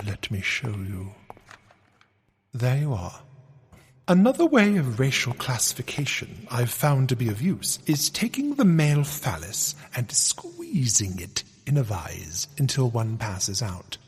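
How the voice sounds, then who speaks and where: A man's voice: mid-range voice, wildly swooping pitch, unhurried, a little hiss or hum. An actor playing a pompous, self-important male museum curator in a comedic audio drama, full of boastful condescension. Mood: matter-of-fact. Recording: reverberant hall.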